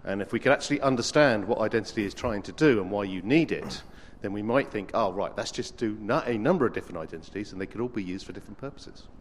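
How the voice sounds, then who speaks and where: A man raising a question from the floor at a technical meeting, halting and restarting his phrases. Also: lowish voice, big-room sound, a little hiss or hum. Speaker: meeting participant asking a question. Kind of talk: open-floor discussion.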